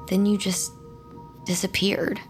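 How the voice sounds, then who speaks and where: A woman's voice: mid-range voice, level tone, slow pace, faint music behind. A young woman playing a guarded, haunted character in an audio drama. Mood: haunted, hesitant. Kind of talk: audio drama acting and narration.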